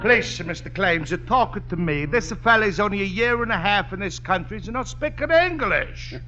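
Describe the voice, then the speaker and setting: A muffled old radio comedy recording with some hiss. a scheming, boisterous Italian immigrant landlord. His voice is high and forceful, wheedling and bombastic in broken English. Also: expressive, normal speed. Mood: blustering. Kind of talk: 1950s radio comedy acting.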